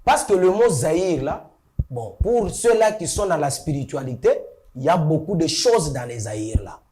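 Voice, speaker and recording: A man, a talk show guest answering in a slow and solemn way. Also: fairly high pitch, big pitch swings, a little mellow, a little hiss or hum. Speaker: studio guest. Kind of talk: political talk show discussion.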